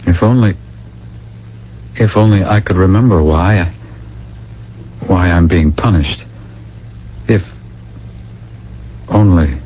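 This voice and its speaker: A man's voice: deep voice, slow pace, pauses often. A man in a radio drama playing a dazed, subdued man, hesitant in his speech. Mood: dazed, troubled. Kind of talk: 1980 radio drama acting. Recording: dull old radio recording, faint hum.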